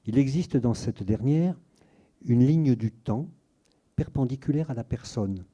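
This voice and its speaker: Man, dark tone, breathy, measured literary reading. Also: medium pitch. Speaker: reader of excerpts. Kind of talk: reading a literary passage aloud.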